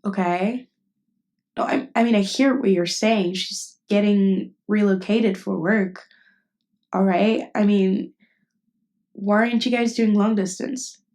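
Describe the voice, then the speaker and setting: A woman's voice: mid-range voice, fairly even intonation, slow, darkish tone. A woman voice acting a caring, indignant best friend in an intimate ASMR roleplay, speaking casually and bluntly. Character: protective, indignant female best friend comforting someone. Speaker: voice actress.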